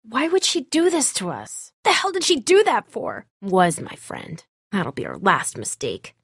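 Game role: blunt, sarcastic teen girl survivor, lively and varied delivery, very dry close sound. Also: medium pitch, unhurried, breathy edge, no room sound, heavily acted. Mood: worried, bitter. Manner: blunt, snarky, slangy. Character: tough, sarcastic, blunt teenage girl surviving a zombie apocalypse. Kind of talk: naturalistic video game voice acting.

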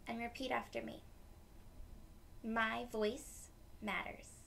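A young woman reading a picture book aloud to children, gently explaining it in simple words. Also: a little high, level tone, slow, creaky, slight background noise. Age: young adult. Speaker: read-aloud storyteller for children. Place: small room at home, indoors.

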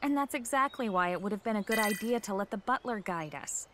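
An actress playing a gentle, gently teasing young wife and mother in a video game cutscene. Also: mid-range voice, expressive, a little fast, music in the background, lightly acted. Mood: mild exasperation.

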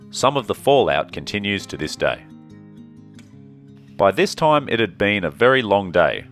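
A man narrating his travel vlog in a calm, measured, scripted way. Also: lowish voice. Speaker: travel vlogger.

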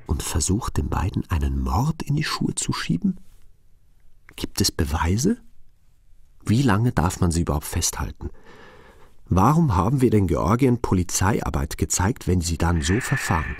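A man's voice: low-pitched, very animated, a little slow, a little mellow, breathy edge, dry recording, clean studio sound, exaggerated voice. A man acting as a sober, questioning investigator in a crime audio drama.

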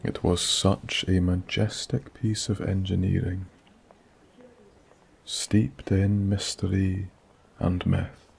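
A man with a Scottish accent narrating a soft-spoken bedtime story in a slow, hushed, soothing way that drifts into whispers. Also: low voice, flat delivery, creaky, breathy edge.

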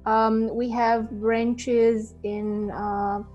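A woman in her thirties, a marketing head being interviewed over a video call, speaking in a measured, hesitant way with frequent fillers. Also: fairly high pitch, little pitch movement, darkish tone, muffled recording, faint music behind. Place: indoor home office. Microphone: wired earphone mic on video call.

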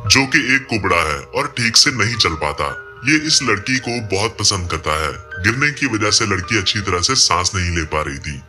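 A man with a low, forceful voice tells a story as a scripted recap narrator. His delivery is steady and moves the plot along clearly. Music plays underneath him. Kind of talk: scripted film explanation narration. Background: background music.

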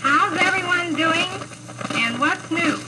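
A woman's voice: very high voice, slow pace. A woman giving a rather formal spoken greeting on a scratchy 1940s home record. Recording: scratchy, noisy 1940s home disc, distant.

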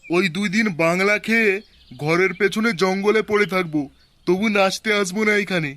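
Man voicing a high-pitched bossy village organiser. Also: normal speed, fairly bright tone, slight background noise. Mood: grumbling. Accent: West Bengali. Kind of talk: amateur comic cartoon dub.

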